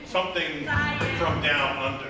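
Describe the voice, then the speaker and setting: An actor playing a cocky, take-charge small-town police chief in a live comedic radio play. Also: male voice, fairly high pitch, fairly even intonation, slow pace, over music. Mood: confident, scheming. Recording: echoey live theatre from far off, faint noise.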